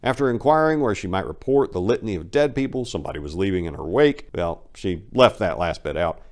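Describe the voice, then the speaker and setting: Deep-voiced man narrating crime fiction. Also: lively intonation, a little fast. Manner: theatrical, sardonic asides. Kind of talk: dramatic reading of a crime story.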